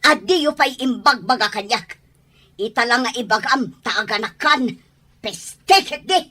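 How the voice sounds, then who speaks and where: A woman's voice: fairly high pitch, expressive, measured pace, sharp tone, exaggerated voice. A woman with an Ilocano accent playing an exasperated mother who scolds and exclaims in an audio drama. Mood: angry scolding.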